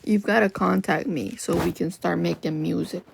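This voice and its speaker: A young woman with a lower voice chatting casually with viewers on a livestream, loose and full of "like" fillers. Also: fairly even intonation, moderate pace, vocal fry, a little hiss or hum. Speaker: livestream host. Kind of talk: casual live-stream chat with viewers.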